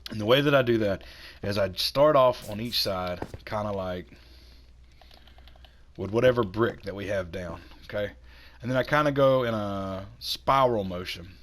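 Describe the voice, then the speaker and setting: A man with a cold, hoarse and a little raspy, chatting casually while he builds in a video game and talks himself through each step. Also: fairly low pitch, moderate pace, fairly bright tone, slight background noise. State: hoarse from sinus infection. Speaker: gaming channel host.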